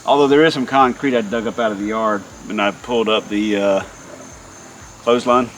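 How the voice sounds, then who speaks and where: A man chatting casually while doing hot outdoor yard work, in an easygoing way with some drawn-out words. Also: lowish voice, steady tone, fairly bright tone, faint music behind. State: hot and working hard. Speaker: vlog host. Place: outdoors in a backyard by a deck.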